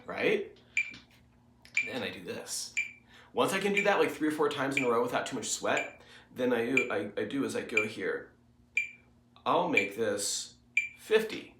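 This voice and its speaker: A man's voice: mid-range voice, animated, measured pace, long pauses, reverberant. A man with a cold, sounding congested, talking a student through a guitar lesson in a loose, rambling way full of fillers. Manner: rambling, filler-heavy explanations. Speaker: guitar teacher. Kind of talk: casual recorded lesson to a student. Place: home music studio.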